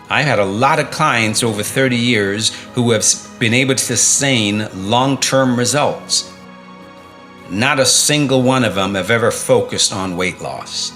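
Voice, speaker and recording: A man's voice: on the low side, bright, forceful tone. A middle-aged man coaching viewers on fitness and mindset in an emphatic motivational talk, repeating key phrases and asking rhetorical questions.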